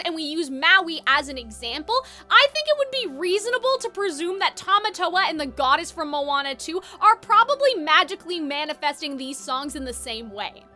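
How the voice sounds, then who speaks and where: A woman's voice: very high-pitched, sharp tone. A woman giving opinionated, conversational video essay commentary as the host. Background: background music.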